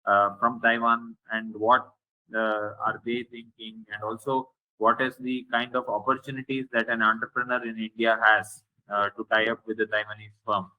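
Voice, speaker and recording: A man moderating a webinar, thanking the guest and paraphrasing an audience question, speaking hesitantly with frequent pauses. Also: lowish voice, little pitch movement, narrow, tinny audio.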